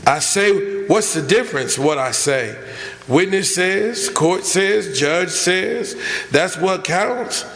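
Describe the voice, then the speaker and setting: A man playing a bitter, hopeless young backwoods prisoner in a radio drama, defiant and resigned, with rough rural grammar. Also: high-pitched, animated, slow pace, fairly bright tone, full character voice. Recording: echoey hall stage recording.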